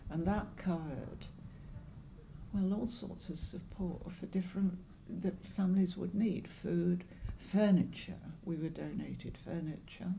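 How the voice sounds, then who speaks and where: An older English woman in her seventies or beyond, reminiscing in an interview in a measured, halting way with pauses. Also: lowish voice, vocal fry, lo-fi sound, slight background noise. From Lancashire, northwest England. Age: 70s or older. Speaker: interviewee, longtime community volunteer. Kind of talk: oral history interview, reminiscing.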